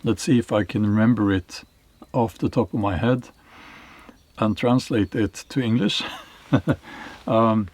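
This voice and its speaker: A middle-aged Norwegian man speaking English with a Norwegian accent, giving a slow, reflective monologue to camera, with pauses and fillers. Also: fairly low pitch, darkish tone. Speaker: channel host, historical novelist. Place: small cave in a forest.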